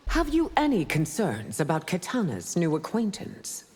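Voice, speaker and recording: A woman's voice: lowish voice, huge pitch swings, normal speed, a little mellow, breathy edge, heavily acted. An actress voicing a stern, regal empress and protective mother in a fighting game, formal and commanding. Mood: probing, reproving. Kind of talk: video game fight intro dialogue. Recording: long hall reverb.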